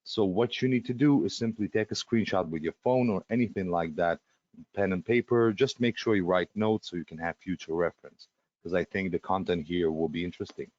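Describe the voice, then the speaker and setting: A man with a Russian accent and a very deep voice hosts a live online webinar. He talks in a chatty, loose way, full of fillers and restarts. The audio is a little dull and lo-fi. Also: a little fast, a little mellow, dry recording. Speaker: webinar host.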